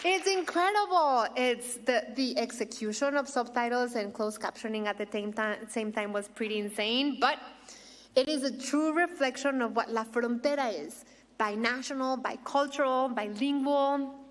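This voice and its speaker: A woman's voice: fairly high pitch, moderate pace. A middle-aged woman with a Mexican accent, hosting an awards ceremony with a prepared speech and playful asides. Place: large hall. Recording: long reverb of a big hall.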